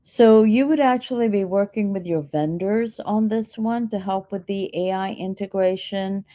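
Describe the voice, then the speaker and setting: A woman's voice: medium pitch, normal speed, dark tone. A woman answering questions as a keynote speaker, explaining in an instructional, step-by-step way. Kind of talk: explanatory conference Q&A.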